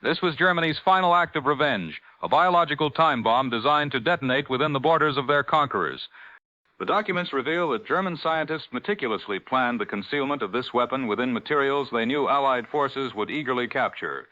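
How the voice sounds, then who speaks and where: A man's voice: mid-range voice, animated, fairly quick, sharp tone, dry recording. A man playing a grave 1940s radio news anchor with a formal, dramatic announcer delivery. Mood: grave, urgent. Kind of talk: 1940s radio newscast acting. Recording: dull lo-fi vintage radio broadcast.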